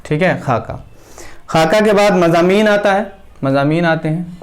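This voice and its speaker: A male teacher giving a classroom lecture at a board, dictating names slowly word by word and answering his own questions. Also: sits high. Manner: asks the class questions and answers them himself.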